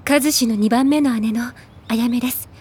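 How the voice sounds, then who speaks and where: 面倒見のよい二十歳くらいの姉を音声ドラマで演じる女性声優。. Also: female voice, a little high, moderate pace, darkish tone, breathy edge. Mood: friendly. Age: young adult. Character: caring older sister about twenty. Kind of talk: audio drama acting.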